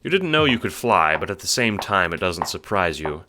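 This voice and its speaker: A man's voice: low voice, sing-song, moderate pace, background noise. A man narrating an audio drama in dramatic, scripted second-person storytelling.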